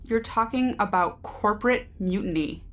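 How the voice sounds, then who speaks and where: A woman's voice: mid-range voice, moderate pace, close to an everyday voice. A woman playing a pragmatic, unfazed security team member in an audio drama, terse and dryly matter-of-fact. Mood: dry, cynical.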